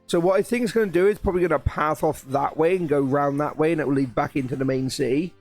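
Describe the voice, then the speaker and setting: A man chatting casually on a live stream, his voice a little croaky, rambling with restarts and sounding excited about his plans. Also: a little high, fast talker, soft background music. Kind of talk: casual live-stream chat while building.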